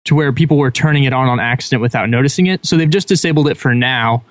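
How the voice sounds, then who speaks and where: A man's voice: medium pitch, quick pace, dry recording. A man on a gaming podcast, explaining things in a matter-of-fact way. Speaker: podcast guest. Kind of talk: casual chat.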